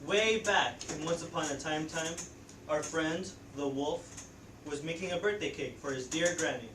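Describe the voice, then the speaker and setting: A woman's voice: low-pitched, moderate pace. A teenage girl narrating a story theatrically as a student stage performer. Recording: echoey room, distant mic, faint noise.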